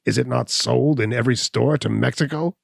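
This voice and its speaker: A man's voice: brisk, creaky, dry recording, studio quality. A man doing a snarling, cornered hunchback. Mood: defiant. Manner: shouting, sneering, higher and strained.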